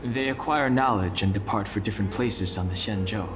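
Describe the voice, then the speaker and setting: An actor voicing a solemn, thoughtful young man in a video game, measured and reflective, like a scholarly guide, over music. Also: lowish voice, noisy background, lightly acted. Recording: loud game music behind.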